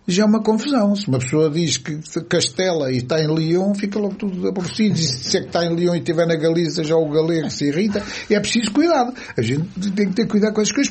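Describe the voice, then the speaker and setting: A man's voice: high voice, a little mellow, husky. A man with a European Portuguese accent in casual political chat, explaining things reflectively with folksy sayings.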